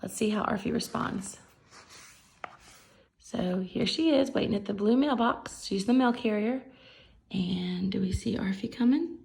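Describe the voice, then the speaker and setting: A woman's voice: mid-range voice, a little slow, gravelly, long pauses, darkish tone. A woman reading a picture book aloud to children, expressively explaining the pictures as she goes. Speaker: story reader for children. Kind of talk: picture book read-aloud with simple commentary.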